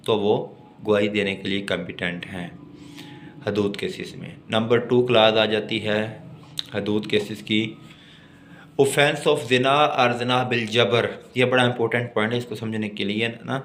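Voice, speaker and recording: Slow-paced Pakistani man lecturing on law. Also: on the low side, slight background noise. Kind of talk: explanatory lecture, reading statutes aloud and explaining them.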